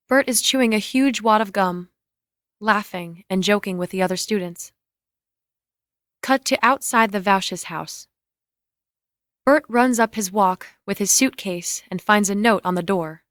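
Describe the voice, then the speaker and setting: Female narrator for a dramatic script reading, delivering scene directions, medium pitch, dry studio-like sound. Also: measured pace, long pauses, fairly bright tone, no echo.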